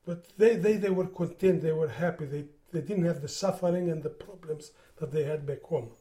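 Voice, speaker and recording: Older Greek-born man, accented English, higher pitched with a warm dark tone, halting reminiscence. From Greece. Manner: halting, repeats words while recalling. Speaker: interviewee. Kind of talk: oral history interview.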